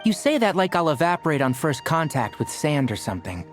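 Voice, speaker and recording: Chill wry young doctor, bouncy intonation. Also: male voice, a little high, sing-song, faint music behind. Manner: measured, gently wry. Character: calm, wry young male forest doctor.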